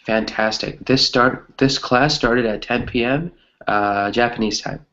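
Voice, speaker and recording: A man's voice: medium pitch, steady tone, unhurried, fairly bright tone, lo-fi sound. A man teaching an English grammar lesson conversationally, encouraging his students and often checking in with "right?".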